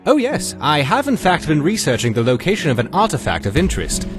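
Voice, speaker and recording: An actor playing a polite, fussy, scholarly museum curator in a fantasy game, chatty and formal in manner. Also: male voice, a little high, wildly swooping pitch, brisk, over music. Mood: genteel, relieved.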